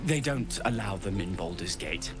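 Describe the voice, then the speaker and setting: An actor playing an easygoing, hopeful refugee in a fantasy game, with wry, relaxed talk. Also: male voice, sits low, normal speed, music in the background.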